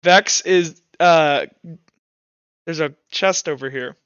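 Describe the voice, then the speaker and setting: Male game master, fairly high clean voice, improvising story narration in stop-start phrases. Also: fairly bright tone, clear, resonant tone, dry recording, dull audio. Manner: halting, pausing mid-phrase.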